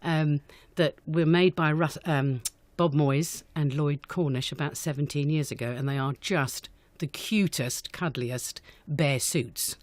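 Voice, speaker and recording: A woman's voice: sits low, measured pace, few pauses, a little mellow, no echo. A woman answering questions in an unscripted radio interview, chatty and enthusiastic as a guest director. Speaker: guest, amateur pantomime director.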